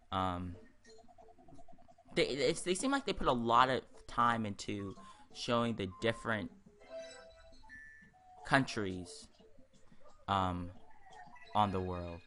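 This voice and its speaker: Guy doing a chill lets play, kinda low but bright punchy voice, rambles w lots of opinions. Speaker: host of a gaming video series. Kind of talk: casual let's-play commentary.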